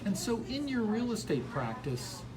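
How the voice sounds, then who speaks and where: A man's voice: high-pitched, very animated, moderate pace, low-quality recording. A man hosting a conversational radio business interview, affirming and rephrasing as he goes. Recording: clear crowd chatter behind the voice. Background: crowd chatter.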